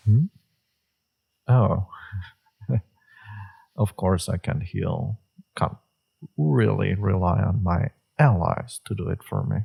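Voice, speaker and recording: A man playing a flirtatious, teasing villain with a secretly tender side in an intimate romantic audio roleplay, his voice husky and murmured. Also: dark tone, exaggerated voice. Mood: soft, wry. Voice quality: low, husky, gravelly, breathy. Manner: slow, teasing, murmured delivery.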